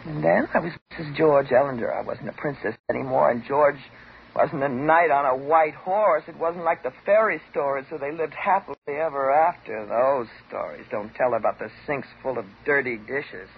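An actress playing a bitter, wounded divorced housewife, sarcastic and dramatic, in the style of 1950s radio drama. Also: female voice, a bass voice, normal speed, vocal fry. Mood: wistful, disillusioned. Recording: muffled, noisy 1950s radio recording.

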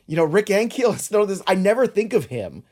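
A man's voice: high-pitched, big pitch swings, fairly quick, a little mellow. A man hosting a sports podcast, talking in a casual, reflective way with lots of filler words. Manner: reflective, rambling with many "you know" fillers. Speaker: podcast host.

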